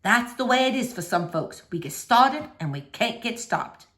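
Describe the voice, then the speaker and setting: A wise old woman of a children's storybook, put on by a woman reading aloud. She speaks in folksy, plain words, kindly but firm. Her pitch moves around a great deal. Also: very animated, moderate pace, a bit bright. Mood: candid. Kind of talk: children's novel read aloud.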